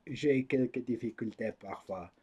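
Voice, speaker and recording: A man reading news aloud in French as a non-native speaker, adding halting commentary with many fillers and frequent mocking laughter. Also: mid-range voice, dull audio, heavy background noise. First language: not French. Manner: laughs often, swears mockingly at figures in the news.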